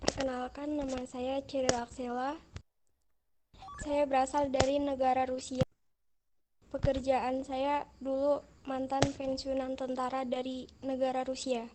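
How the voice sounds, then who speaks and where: A woman reciting her roleplay character's introduction in a monotone. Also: sits high, measured pace, noisy background.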